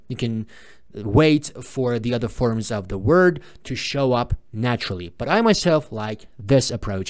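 A man presenting an unscripted software tutorial, explaining step by step, often repeating and correcting himself. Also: medium pitch.

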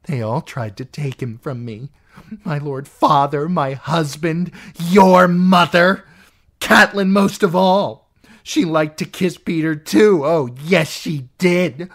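An audiobook narrator voicing a jealous, unhinged middle-aged noblewoman who accuses in a shrill, spiteful voice that rises into screeching. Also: big pitch swings, takes it slowly, hardly any pauses. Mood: furious. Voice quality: shrill, piercing. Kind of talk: audiobook character voice.

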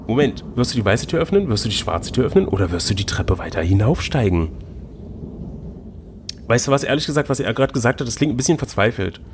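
Male podcast co-host, mid-pitched and animated, playful gamebook reading and banter, faint background noise. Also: quick pace. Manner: playful, teasing. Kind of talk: gamebook read-aloud with casual banter.

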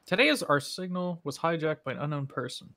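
A woman's voice: sits low, animated, fairly quick, no room sound. A woman in casual group chat, joking and sarcastic as she reacts to a video. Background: loud music.

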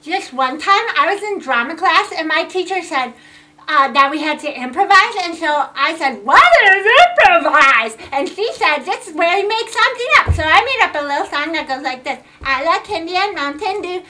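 An excitable little girl in a comedy sketch. Her voice is extremely high and piercingly bright, and she gushes and rambles like a chatterbox. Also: moderate pace, exaggerated voice. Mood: chatty. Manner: rambling, gushing, childlike.

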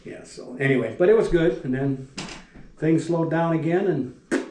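An older man, a retired factory welder, telling plant stories in a folksy, rambling way that often drops off quietly. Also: a little high, moderate pace, background noise. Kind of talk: casual storytelling. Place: dining room at a wooden table. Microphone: camera across the table. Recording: echoey room.

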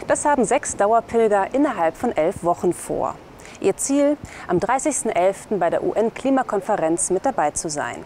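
A woman's voice: medium pitch, expressive, normal speed, a little mellow. A young woman presenting scripted local news to camera outdoors. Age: young adult. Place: outdoors by a building and trees. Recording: faint outdoor city noise. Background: faint outdoor ambience.

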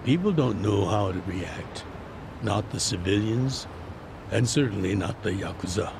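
An actor playing an older retired yakuza boss, weary and fatherly, in a slow, measured, reflective manner. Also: on the low side, animated, vocal fry, background noise. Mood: calm, reflective. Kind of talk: video game cutscene dub.

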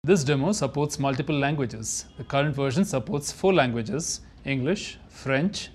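A man's voice: medium pitch, normal speed, husky, soft background music. A man reading scripted narration for a product demonstration.

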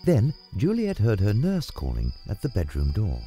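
A man's voice: on the low side, wildly swooping pitch, moderate pace, warm tone, soft background music. A man narrating a scripted story as a storyteller.

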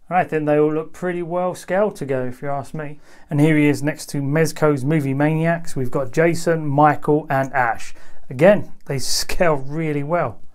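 A man's voice: on the high side, darkish tone. A man giving a casual, unscripted hands-on review commentary in an easygoing, chatty, conversational way. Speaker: toy reviewer.

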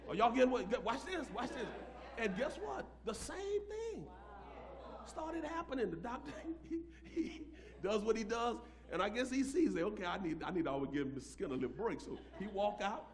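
Animated, high-pitched American male preacher. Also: normal speed, crowd noise. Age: middle-aged. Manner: emphatic, repeats phrases, folksy. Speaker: pastor. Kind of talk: animated sermon with personal storytelling. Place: church sanctuary, at a pulpit. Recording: live church room with audience reactions. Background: congregation reacting.

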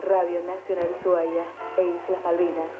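A woman's voice: lowish voice, level tone, moderate pace. A woman reading a scripted radio station identification. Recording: very muffled radio jingle recording. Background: loud music.

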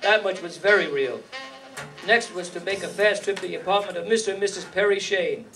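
A man's voice: high-pitched, a little fast, vocal fry, fairly bright tone. An older man playing a slangy, wry hard-boiled private eye in a 1950s noir radio play. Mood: wry narrating. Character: wisecracking hard-boiled male private eye, world-weary. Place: staged reading in a community hall. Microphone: stand microphone, heard from a far camera. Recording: distant room mic, music.

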